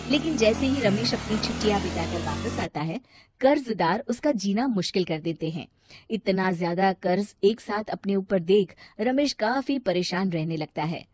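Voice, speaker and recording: A woman's voice: medium pitch, darkish tone, no room sound. A woman reading scripted story narration as the storyteller.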